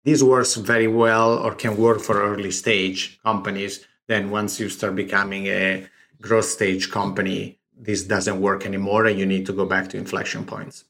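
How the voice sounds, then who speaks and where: A man with a noticeable Italian accent talks through startup numbers one step at a time. His voice sits fairly low and has a gravelly edge. He speaks the way a founder does in a recorded interview. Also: little pitch movement, moderate pace, a bit bright. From Italy.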